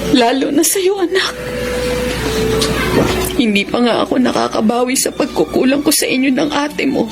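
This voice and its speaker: A woman's voice: high-pitched, expressive, moderate pace. A woman voicing a weak, remorseful mother. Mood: weary. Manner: weary, apologetic. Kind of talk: radio drama acting. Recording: radio drama with clear music bed.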